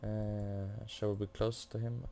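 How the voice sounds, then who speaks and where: Gravelly, mellow man doing halting game commentary. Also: fairly low pitch, flat delivery. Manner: halting, searching for words. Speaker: gaming video host. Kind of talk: casual playthrough commentary.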